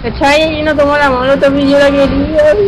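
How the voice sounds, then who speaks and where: A Chilean woman bantering excitably in slang and swearing as she plays a team video game. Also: high-pitched, a little fast, noisy background. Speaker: video gamer. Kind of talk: casual co-op gaming banter. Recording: loud game music and sound underneath. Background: video game soundtrack.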